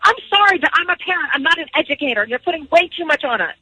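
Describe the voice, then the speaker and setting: Disbelieving mom on the phone, high raspy-ish woman's voice, dry sound, keeps questioning. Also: animated, racing, bright, forceful tone, no echo. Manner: questioning, incredulous. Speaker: parent, prank call victim. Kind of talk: spontaneous phone conversation. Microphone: telephone. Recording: phone call with faint music behind.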